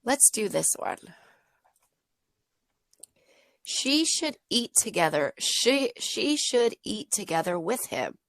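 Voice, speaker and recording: A woman tutoring a child in English online, slightly hoarse and losing her voice, modeling sentences slowly and clearly. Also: medium pitch, sing-song, a bit bright, dry recording, clean studio sound. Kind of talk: patient teaching over a video lesson.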